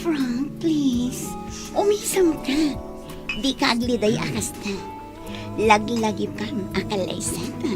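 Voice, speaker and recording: A woman playing an earnest, generous young Filipino bride with an Ilocano accent and a husky voice in a radio drama. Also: fairly high pitch, slow. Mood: kindly. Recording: music playing behind the voice.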